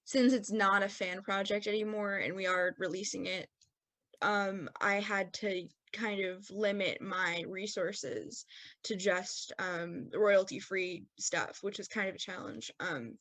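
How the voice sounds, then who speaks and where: A woman's voice: mid-range voice, a little slow, a bit bright, no echo. A woman chatting casually as a podcast actor and sound designer, explaining things in a rambling, self-correcting way. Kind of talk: casual panel chat, post-show commentary.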